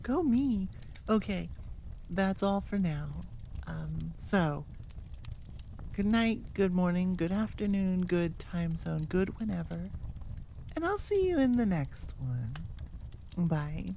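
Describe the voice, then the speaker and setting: A woman with a slightly low voice talks at a very unhurried pace. She rambles casually as a channel host, with a playful, self-mocking manner. There is some noise behind her. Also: big pitch swings, gravelly, warm tone. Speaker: audio channel host and performer. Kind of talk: casual unscripted outro chat.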